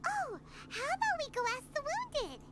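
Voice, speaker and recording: A woman voicing a tiny, cheerful, childlike fairy companion with a squeaky voice in a video game. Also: big pitch swings, normal speed. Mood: worried. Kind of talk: video game dialogue acting. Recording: noisy game stream capture.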